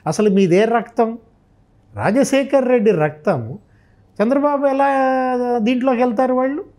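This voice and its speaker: A man's voice: extremely high pitch, expressive, moderate pace, warm tone. A man giving impassioned political commentary, emphatic and full of rhetorical questions. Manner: emphatic, rhetorical questioning, re-enacting others' remarks.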